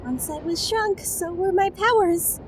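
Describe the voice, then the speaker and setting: A woman voicing a tiny, prim, bossy fairy with a small squeaky voice in an audio drama. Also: unhurried, a little hiss or hum. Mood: explaining, regretful. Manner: prim, exclamatory.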